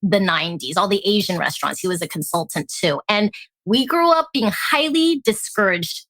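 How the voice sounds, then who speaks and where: A woman raised in Alaska and born in Hong Kong, a tea company founder chatting as a guest in an interview, lively and laughing often. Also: mid-range voice, moderate pace, no echo. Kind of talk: casual interview chat.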